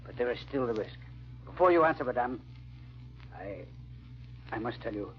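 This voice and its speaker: An actor playing a grave, determined baron bent on vengeance, speaking in a measured, formal, earnest old-time radio style. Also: male voice, medium pitch, expressive, a little hiss or hum, close to an everyday voice. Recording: dull, band-limited old radio recording.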